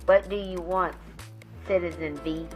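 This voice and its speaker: A defiant female vigilante played by a woman with a fairly low voice. She is guarded, serious and firm, a homemade superhero-film heroine heard over a music track. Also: steady tone, unhurried, lightly acted. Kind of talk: amateur superhero film acting.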